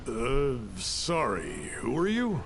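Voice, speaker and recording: A man's voice: medium pitch, sing-song, slow pace. A man voicing a suspicious and brusque townsman in a video game. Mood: wary. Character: wary, irritated adult townsman. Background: game music.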